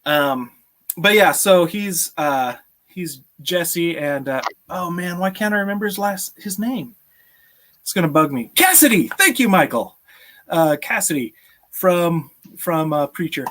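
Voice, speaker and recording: A man's voice: high-pitched, very animated, unhurried, fairly bright tone, slight background noise. A man in his thirties hosting a casual live stream, chatting with viewers and often chuckling, on a video call from a room at home. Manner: chuckles often while talking. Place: small home room with sloped ceiling, indoors.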